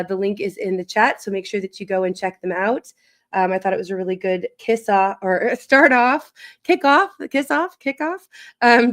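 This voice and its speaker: A woman hosting a livestream in casual chat, her voice raspy and a little wheezy as she recovers from illness. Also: medium pitch. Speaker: livestream host.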